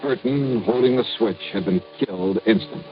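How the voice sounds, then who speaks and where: Dramatic music sits behind a muffled vintage radio recording of a man playing a hard-boiled investigator. He narrates his case in a low, lively voice, terse and wry. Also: normal speed, lightly acted. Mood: grim, tense. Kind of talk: 1950s radio detective narration.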